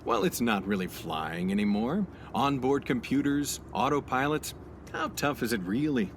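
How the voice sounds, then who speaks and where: A man's voice: mid-range voice, huge pitch swings, moderate pace, creaky, a little hiss or hum. An actor playing a brash, overconfident male airline passenger who talks in a smug, self-assured way. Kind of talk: community radio play acting.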